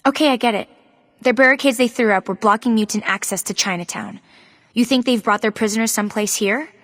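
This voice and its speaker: A woman's voice: on the high side, a little fast, a bit bright, dry recording. A woman playing an earnest young novice mutant heroine in a video game, speaking plainly and sincerely. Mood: questioning. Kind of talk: video game cutscene acting.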